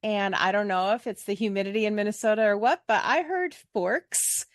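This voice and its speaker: A woman's voice: mid-range voice, brisk, no echo, clean studio sound. A middle-aged American woman hosting a podcast in a casual, chatty, joking way. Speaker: podcast host.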